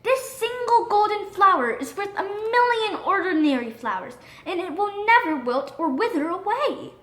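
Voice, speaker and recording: Storybook role: doting father king who loves gold, high and animated played by a woman, echoey room. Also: moderate pace. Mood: coaxing. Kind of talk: children's storybook character voice. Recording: roomy echo.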